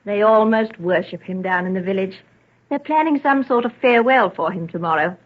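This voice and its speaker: Gentle older mother, muffled old radio actress. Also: medium pitch, a little fast, lightly acted. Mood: grateful, tender. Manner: genteel, sentimental. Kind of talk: vintage radio drama acting. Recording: dull old radio recording.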